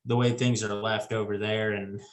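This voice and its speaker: A man's voice: fairly low pitch, level tone, normal speed. A man in his twenties talking casually as a podcast guest, easygoing and rambling with restarts. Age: 20s. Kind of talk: casual conversation.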